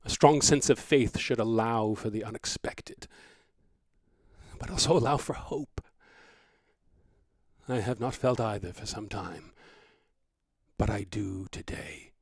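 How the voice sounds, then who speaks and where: Audio drama: solemn, solitary male scholar of faith, low voice, formal letter delivery, dry sound. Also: slow pace, pauses often, breathy edge, no room sound, exaggerated voice. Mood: solemn, hopeful. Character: earnest, grieving, solitary male divinity scholar writing a letter.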